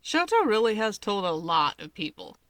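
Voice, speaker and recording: A woman's voice: mid-range voice, sing-song, moderate pace, fairly bright tone, no room sound. A woman voicing an easygoing young man who explains things in a breezy, dismissive and cheerfully nonchalant way.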